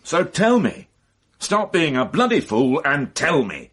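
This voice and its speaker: Husky, gruff police inspector. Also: male voice, a little high, very animated, unhurried, fairly bright tone, heavily acted. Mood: brusque, exasperated. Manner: brusque, interrogating, dry sarcasm. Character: gruff, impatient male police inspector, wearily sardonic. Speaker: actor. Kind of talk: radio mystery drama acting.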